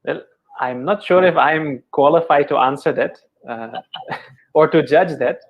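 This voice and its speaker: Middle-aged Dutch-born man, Indian-accented English, slightly high voice, gentle unhurried interview guest. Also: phone-quality sound. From Netherlands. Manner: measured, gentle, many fillers and self-repeats. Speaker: yoga teacher, guest. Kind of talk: thoughtful conversational explanation.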